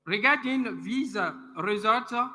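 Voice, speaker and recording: Man, halting report; very bright voice, slow, in an echoing hall. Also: sits high, expressive, a little hiss or hum. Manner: halting, many "uh" pauses, calls slides. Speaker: conference rapporteur. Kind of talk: conference report. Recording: reverberant conference hall.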